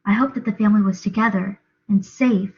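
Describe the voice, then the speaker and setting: A woman's voice: mid-range voice, steady tone, fairly quick, noisy background. A young adult woman giving a dramatic reading of a novel as narrator. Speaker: reader, drama student. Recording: dull lo-fi recording.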